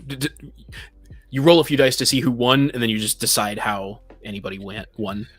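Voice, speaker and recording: Mid-pitched man in casual debate. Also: level tone, brisk, a bit bright, breathy edge, no echo, soft background music. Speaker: podcast panelist. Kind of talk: casual debate about tabletop games.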